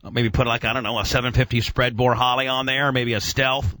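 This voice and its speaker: A man's voice: medium pitch, level tone, fairly quick, sharp tone, no echo. A man hosting a casual motoring radio chat, rambling enthusiastically in technical gearhead jargon with mild swearing. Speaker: radio show host.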